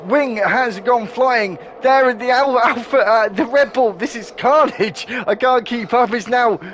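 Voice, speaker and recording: A man giving live play-by-play commentary on an online racing league, excitable and quick to correct himself. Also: very high-pitched, slight background noise.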